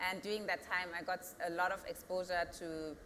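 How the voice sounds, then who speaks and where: Bright-voiced woman with a German accent. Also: lowish voice, fairly even intonation, brisk, in a large hall, a little hiss or hum. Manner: earnest, builds to emphatic peaks, thinks aloud with restarts. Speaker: company founder. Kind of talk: panel interview answer.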